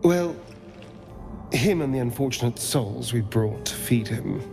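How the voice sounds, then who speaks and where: A man's voice: mid-range voice, expressive, unhurried, gravelly, over music. A man voicing a haughty, theatrical vampire rogue in a video game, with arch, precise, dramatic delivery. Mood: wary awe.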